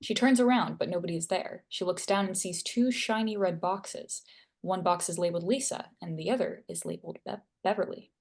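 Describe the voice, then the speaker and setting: A woman's voice: on the low side, fairly quick, creaky, a little mellow. A woman reading stage directions and scene headings as narrator at a screenplay table read.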